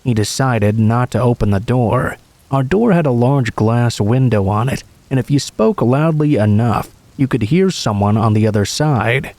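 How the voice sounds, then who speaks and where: Guy reading scary stories like a narrator, measured, medium pitch, really dry recording. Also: a little mellow, no echo. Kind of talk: scripted first-person story narration.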